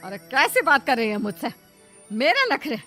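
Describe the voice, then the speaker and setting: A woman's voice: sits high, very animated, normal speed, bright, forceful tone, faint music behind, exaggerated voice. A woman voicing a nagging, suspicious older mother-in-law in a cartoon moral story, with a scolding, complaining manner. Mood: indignant, scolding.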